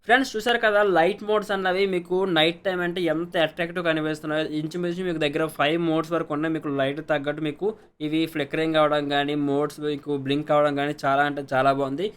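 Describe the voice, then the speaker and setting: A man's voice: a little high, fairly even intonation, racing, clear, resonant tone. A man giving a casual, step-by-step product review, repeating set phrases and mixing in English words. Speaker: product reviewer, channel host. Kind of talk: casual unboxing and review narration.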